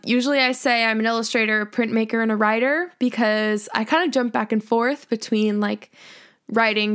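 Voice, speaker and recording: A woman's voice: fairly high pitch, a bit bright, clear, resonant tone. A woman of twenty co-hosting a podcast in casual chat, bubbly and giggly. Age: 20s. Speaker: podcast co-host, illustrator.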